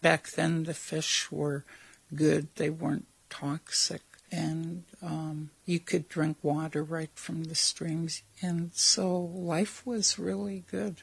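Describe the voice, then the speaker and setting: An older woman from Alaska, speaking in a documentary interview and slowly recalling her childhood village. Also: deep voice, little pitch movement, creaky, slightly rough, dry recording, rough recording. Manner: slow, reflective storytelling.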